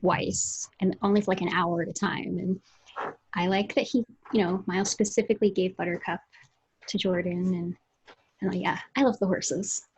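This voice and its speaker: Chatty woman with a slightly husky voice. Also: fairly low pitch, fairly even intonation, normal speed, low-quality recording. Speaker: podcast co-host, author. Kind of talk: casual book chat. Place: small room at home. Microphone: video call.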